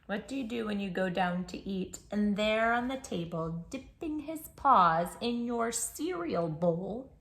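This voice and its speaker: A woman's voice: mid-range voice, lively intonation, unhurried, few pauses, a bit bright. A woman hosting a read-aloud for young children, speaking expressively and emphatically. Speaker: children's storytime host. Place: indoors, room at home.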